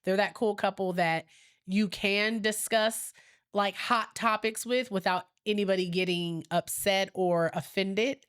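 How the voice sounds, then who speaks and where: A woman's voice: mid-range voice, a little slow, a bit bright, clear, resonant tone. A woman co-hosting a podcast in casual chat, rambling and laughing easily, her nose a little stuffy. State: slightly stuffy from a sinus infection. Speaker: podcast co-host.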